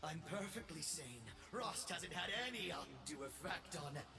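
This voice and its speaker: A megalomaniac young emperor from a video game, gloats in a theatrical, high voice that leaps wildly. He is slow and imperious, dropping from shouts to hushed menace, with music behind him. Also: lively intonation, vocal fry, distant mic. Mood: scornful, imperious. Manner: grandiose, arrogant, swinging between shouts and hushed menace. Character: megalomaniac young self-crowned space emperor, gloating and unhinged.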